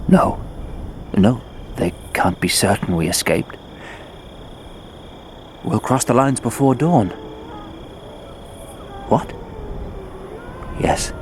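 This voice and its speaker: An actor playing a frightened young crusader in a radio drama, muttering haltingly in a hushed voice. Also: male voice, medium pitch, sing-song, slight background noise. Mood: tense, protective. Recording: studio radio drama under loud music.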